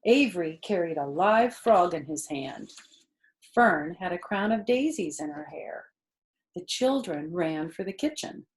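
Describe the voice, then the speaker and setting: A woman storyteller narrating a children's book in an expressive reading style. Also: lowish voice, unhurried, low-quality recording.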